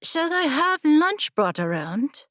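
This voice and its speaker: A woman's voice: high-pitched, big pitch swings, takes it slowly, fairly bright tone, dry recording, clean studio sound, voice pushed up, heavily acted. A woman voicing a proud, haughty teenage noblewoman warrior with formal, clipped, imperious speech in an audiobook story. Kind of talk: audiobook character dialogue.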